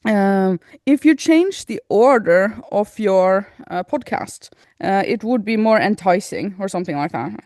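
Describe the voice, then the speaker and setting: A woman's voice: medium pitch, lively intonation, unhurried, few pauses, darkish tone, no echo. Woman chatting on a podcast, giggly n informal, middle voice, really dry recording. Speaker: podcast co-host. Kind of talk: casual chat.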